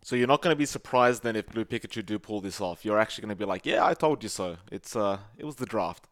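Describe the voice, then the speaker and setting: A man with an Australian accent, casting a competitive game match with brisk play-by-play and playful teasing of his co-caster. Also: fairly low pitch, expressive, fairly bright tone. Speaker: esports caster.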